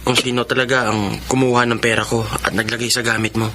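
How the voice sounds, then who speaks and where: A man's voice: medium pitch, little pitch movement, a little fast, bright, forceful tone, slight background noise, close to an everyday voice. A Filipino man playing a troubled, stubborn and loyal young son in a radio drama. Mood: concerned, remorseful.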